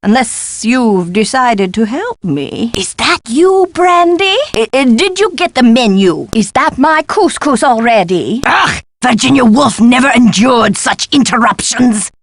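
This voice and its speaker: Eccentric professor, high, swooping voice. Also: female voice, animated, a little slow, few pauses, no room sound. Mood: irritated, harried. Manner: flighty, pompous, florid, exclamatory. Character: eccentric, excitable, absent-minded woman history professor. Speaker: video game character. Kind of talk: theatrical video game voice acting.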